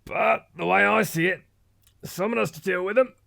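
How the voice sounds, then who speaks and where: A gravelly British monster hunter acted by a man, blustering and blunt, with a forceful edge to the voice. He is a middle-aged veteran talking casually to camera in an animated mockumentary, bossy and deadpan about his own exploits. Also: high-pitched, normal speed, slight background noise, exaggerated voice. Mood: weary.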